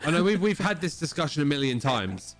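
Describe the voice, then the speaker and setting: A man's voice: fairly high pitch, very animated, fairly quick, fairly bright tone, soft background music. A young man co-hosting a podcast, ribbing his friend with dry, sweary humor. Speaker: podcast co-host. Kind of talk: casual banter.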